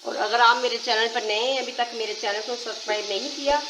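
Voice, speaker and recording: A woman with a sore throat walks through a recipe step by step, repeating points patiently. Her voice sits a little on the high side. The sound bounces around a roomy home kitchen. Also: fairly even intonation, a little fast, noisy background. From Pakistan. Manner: patient, repetitive explaining. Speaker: cooking channel host. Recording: echoey room.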